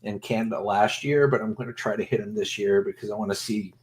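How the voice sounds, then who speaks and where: A man hosting a casual livestream chat with guests, friendly and halting with frequent restarts. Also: lowish voice, fairly even intonation. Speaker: livestream host.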